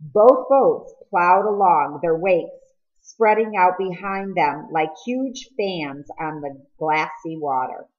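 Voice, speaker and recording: Woman narrating an audiobook, lowish voice, dull lo-fi sound. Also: takes it slowly. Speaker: audiobook reader. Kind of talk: scripted storybook narration, read aloud.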